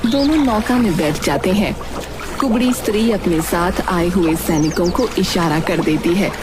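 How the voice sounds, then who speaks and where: A woman's voice: on the low side, normal speed, over music. A woman storyteller reading scripted fairy tale narration.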